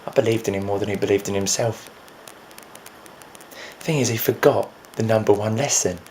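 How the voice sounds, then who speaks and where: A man performing a dramatic stage monologue as a grieving, frustrated friend of a lost musician, speaking earnestly with halting repetition. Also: fairly low pitch, close to an everyday voice, rough recording. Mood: earnest, pained. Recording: faint noise behind the voice.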